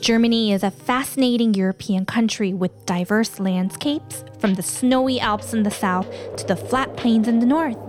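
A woman hosting a children's show, reading scripted narration in a clear, explanatory way. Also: a little high, moderate pace, few pauses, music in the background.

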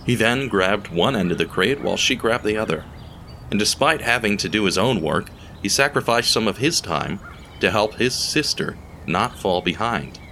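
A man narrating an audio drama in a deliberate, theatrical storytelling style, speaking straight to the listener. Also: lowish voice, animated, sharp tone, a little hiss or hum.

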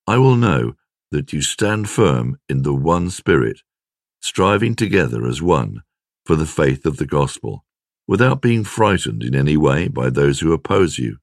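Very deep-voiced man reading a devotional. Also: dry recording, clean studio sound. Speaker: devotional reader. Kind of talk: scripted devotional reading with scripture and prayer.

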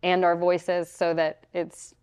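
A woman's voice: lowish voice, monotone, takes it slowly, a little mellow, clean tone. A woman guest in an interview, explaining new technology in an upbeat, emphatic way. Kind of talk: conversational interview.

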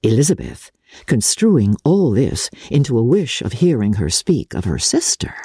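A woman narrating classic fiction in a theatrical, measured and deliberate literary style, with a husky voice. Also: a bass voice, very animated, warm tone, no room sound, studio quality. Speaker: audiobook narrator.

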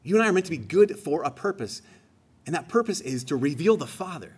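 A man's voice: on the high side, sing-song, a little fast, darkish tone, slightly rough. A man giving a homily in a conversational, emphatic way, rising on key phrases. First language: English. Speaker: preacher.

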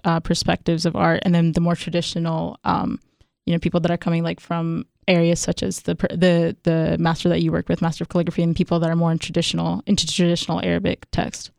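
Curious young woman radio host, smooth voice. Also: on the low side, flat delivery, fairly quick, darkish tone, no echo, studio quality. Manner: curious, asks long rambling questions. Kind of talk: radio interview.

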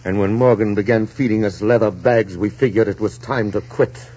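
An actor playing a blunt, disgruntled deserter with a husky voice in a 1940s radio drama. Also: male voice, lowish voice, fairly even intonation, normal speed, warm tone. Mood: grim. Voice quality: husky, rough. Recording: dull 1940s radio recording.

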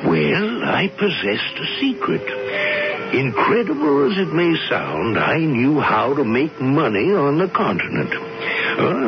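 An actor playing a wry, courtly American writer and humorist in a radio drama, droll and mock-formal with an American accent. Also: male voice, medium pitch. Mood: wry reminiscing. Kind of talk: 1970s radio drama storytelling. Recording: dull vintage radio recording.

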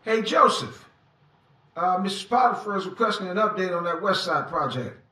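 A man's voice: high voice, animated, normal speed, sharp tone, far from the mic. An actor playing a casual, obliging household staffer in an audio drama, a little hesitant in his speech. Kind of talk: modern audio drama acting. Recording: echoey, away from the mic.

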